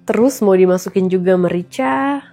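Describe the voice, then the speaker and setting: Woman, 30s, stuffy nasal cold voice, mellow, chatty cooking vlogger. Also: medium pitch, moderate pace, soft background music. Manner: chatty, addresses viewers often. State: recovering from flu. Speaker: vlogger and mother. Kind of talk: casual cooking vlog.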